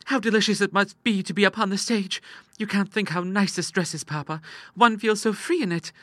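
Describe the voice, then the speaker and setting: A man's voice: sits high, a little fast, a bit bright, no room sound, voice pushed up. A man voicing a mischievous, bold young lady in an audiobook, with a gushing, teasing, girlish manner. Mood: gleeful. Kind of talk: audiobook character acting.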